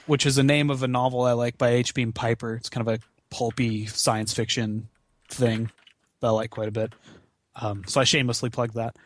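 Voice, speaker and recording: A man chatting casually as a podcast guest, rambling and halting, with some swearing. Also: mid-range voice, little pitch movement. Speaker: podcast guest, charity gaming marathon organizer. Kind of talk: casual chat.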